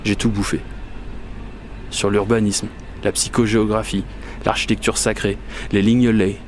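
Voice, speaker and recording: A man's voice: fairly low pitch, slight background noise, lightly acted. A man playing an obsessive, whimsical dreamer in a first-person radio fiction monologue, speaking in a confiding, colloquial, self-mocking way. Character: obsessive, whimsical Parisian man confiding a magical commuting quest. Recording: urban and train ambience behind voice.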